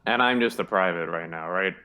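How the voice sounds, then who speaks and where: A man's voice: low-pitched, a little fast, pushed and bright. A man chatting casually as a player in a tabletop game. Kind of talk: casual chat.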